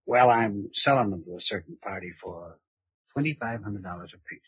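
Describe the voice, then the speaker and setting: A man's voice: on the low side, moderate pace, slightly rough, dry recording. A man playing a brash, slangy small-time blackmailer in a 1940s radio crime drama, cocky and pushy. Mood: cocky, excited. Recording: dull old radio recording.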